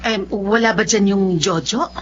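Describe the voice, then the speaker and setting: Actress as a wary Filipino aunt, lo-fi. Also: female voice, medium pitch, normal speed, slight background noise, close to an everyday voice. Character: wary, disapproving aunt visiting the family. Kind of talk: Filipino radio drama acting. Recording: dull lo-fi radio sound, faint music.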